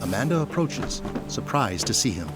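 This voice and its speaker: A man's voice: medium pitch, very animated, moderate pace, loud music behind the voice. A man reading scripted narration for an audio drama.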